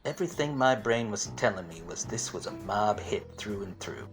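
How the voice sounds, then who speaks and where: An actor playing an obtuse, wisecracking private detective in a parody of 1940s radio plays, wry and world-weary. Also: male voice, lowish voice, lively intonation, normal speed, soft background music. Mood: brooding narration.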